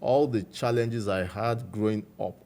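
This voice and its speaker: A man with a Nigerian accent, a guest recounting his life story in a measured, reflective way. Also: fairly low pitch, creaky. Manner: measured, warm storytelling with frequent "you know".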